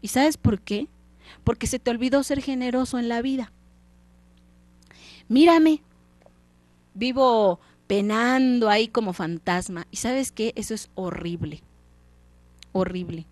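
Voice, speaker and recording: Lady doing a ghost guy preaching at his old partner, earnest, kinda high voice, lots of pauses. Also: darkish tone, dry recording, lightly acted. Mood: pleading, warning. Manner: earnest, lecturing, pausing between phrases. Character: dead business partner, male ghost, pleading, stern.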